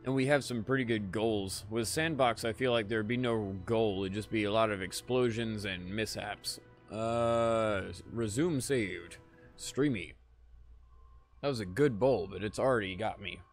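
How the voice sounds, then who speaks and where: Tired, slightly raspy male streamer. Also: on the low side, a little slow, soft background music. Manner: laid-back, swears freely, chats to viewers. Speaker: gaming livestreamer. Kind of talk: casual live gameplay chat.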